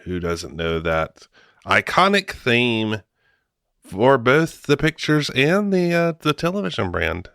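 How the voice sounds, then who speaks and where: Animated, slow-talking gravelly man. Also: mid-range voice, no echo, studio quality. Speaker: podcast co-host. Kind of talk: casual chat.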